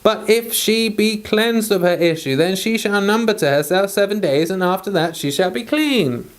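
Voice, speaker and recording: A man's voice: sits high, moderate pace. A man who hosts and reads aloud, moving between casual chat and scripture. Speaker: host and scripture reader.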